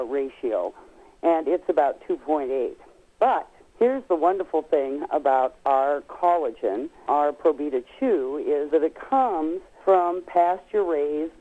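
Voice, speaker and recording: A woman guest expert on a telephone, giving explanatory answers in an emphatic, folksy way and stretching key words. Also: low-pitched. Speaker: guest expert on nutrition products. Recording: tinny narrow-band telephone line.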